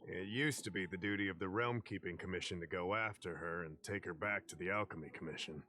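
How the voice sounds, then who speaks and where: Polite game official, low pitch, measured, informative, fantasy setting. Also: male voice, sharp tone, a little hiss or hum. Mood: patient, explanatory. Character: courteous, knowledgeable male official of a fantasy realm.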